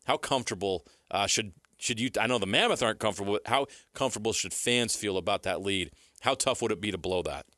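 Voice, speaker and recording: A man's voice: lowish voice, fast talker, few pauses, sharp tone, no echo, studio quality. A man co-hosting sports radio, asking questions in a conversational interviewing style.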